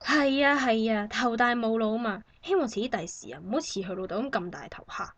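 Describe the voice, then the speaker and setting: Woman acting a high-pitched, grumbling new mother. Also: lively intonation, brisk, dry recording, muffled recording. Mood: weary complaining. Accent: Hong Kong Cantonese. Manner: sighing, complaining, colloquial. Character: weary, grumbling new mother in a maternity ward. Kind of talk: amateur satirical radio drama acting.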